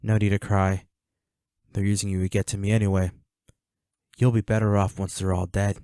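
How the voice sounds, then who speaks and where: A man playing a flirty, menacing villain in an audio roleplay, speaking to the listener with taunting, mock-sympathetic, unhurried delivery. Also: fairly low pitch, level tone, dark tone, smooth, dry recording, clean studio sound, close to an everyday voice. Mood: coaxing menace. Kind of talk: scripted audio roleplay acting addressed to the listener.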